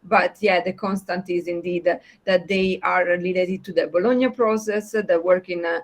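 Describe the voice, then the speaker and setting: A woman from Italy with an Italian accent, presenting slides at an online project meeting, pausing often with filler sounds. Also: lowish voice, steady tone. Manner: hesitant, many filler sounds and restarts.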